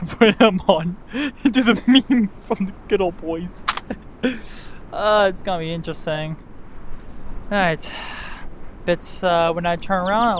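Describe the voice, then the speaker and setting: A man hosts his own gaming video, speaking very slowly and leaning on filler sounds between his thoughts. He keeps turning to his viewers as guys. Clear noise from the game capture runs behind his voice. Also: high-pitched. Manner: slow, filler-heavy, addresses viewers as "guys". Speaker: video host. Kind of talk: casual gaming commentary to viewers.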